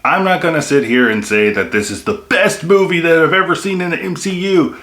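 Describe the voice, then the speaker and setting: A man's voice: a little high, a little fast, a bit bright. A young man giving a casual, enthusiastic film review, with lots of "like" and "you know" fillers. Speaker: movie reviewer. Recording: echoey room.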